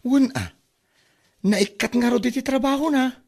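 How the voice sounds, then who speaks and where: A man with an Ilocano accent acting a gossipy, animated neighbourhood rumour-spreader in a radio comedy drama. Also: extremely high pitch, unhurried, exaggerated voice. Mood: gossipy, scornful.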